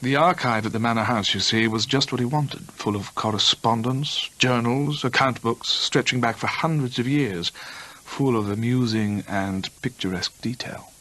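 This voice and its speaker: An actor playing an earnest storyteller who believes in the supernatural, measured and conversational, in a radio drama. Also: male voice, mid-range voice, pushed and bright, rough recording. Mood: absorbed storytelling.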